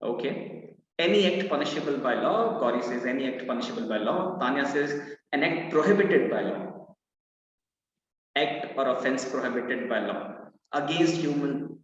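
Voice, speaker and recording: A man with an Indian accent teaching a live online law class, with a loud voice, mixing Hindi phrases in. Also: mid-range voice, normal speed. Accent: Indian English. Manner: lively, mixes in Hindi phrases, reads out student answers. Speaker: law entrance mentor. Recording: echoey, roomy, distant, dull live-class audio.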